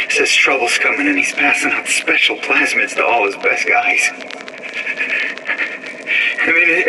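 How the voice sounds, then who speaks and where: An actor playing a worried male henchman who rambles anxiously about a strange sickness in a game audio log. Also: mid-range voice. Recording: distant, noisy audio log.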